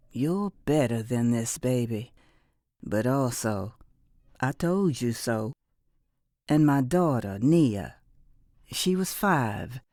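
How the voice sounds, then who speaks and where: An actress playing a reflective, weary but resilient former prisoner and mother in middle age, delivering an intimate, deliberate audio drama monologue. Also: a bass voice, dark tone, no room sound, clean studio sound.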